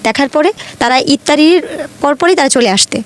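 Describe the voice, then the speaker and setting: A woman's voice: high-pitched, animated, normal speed. A young woman with a Bangladeshi accent, telling her own life story conversationally in detail, often quoting dialogue. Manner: detailed retelling with frequent quoted dialogue.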